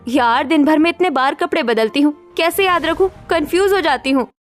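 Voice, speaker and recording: A woman's voice: high voice, brisk, faint music behind, close to an everyday voice. A woman acting a chatty, fretful young modern woman in cartoon story dialogue. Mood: annoyed. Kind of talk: animated Hindi story dialogue.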